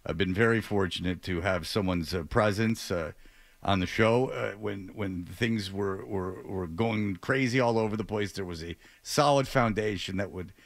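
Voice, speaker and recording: A man hosting a radio talk show, speaking off the cuff in a halting way with stammers and repeated words. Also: on the low side, dry recording. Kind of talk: unscripted radio talk show monologue and interview. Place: radio studio.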